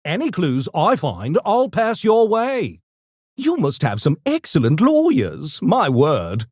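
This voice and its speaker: Pompous genteel gremlin for a game: unhurried, theatrical, extremely swooping intonation, mischievous politeness. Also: male voice, fairly high pitch, huge pitch swings, darkish tone, no echo, clean studio sound, heavily acted. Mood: smug, taunting. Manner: posh, pompous, theatrical, mock-polite diction. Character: urbane genteel mischievous gremlin, a genetically engineered intellectual creature. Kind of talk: video game character voice lines.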